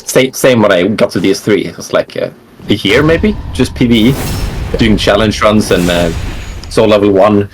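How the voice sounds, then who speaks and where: A man with a fairly low voice answers interview questions on a voice call. He speaks in an easygoing, rambling way with plenty of "like" and "uh." A faint hum of room ambience sits behind him. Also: normal speed. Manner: relaxed, rambling, lots of fillers and likes. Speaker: interview guest, gamer. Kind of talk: casual online interview.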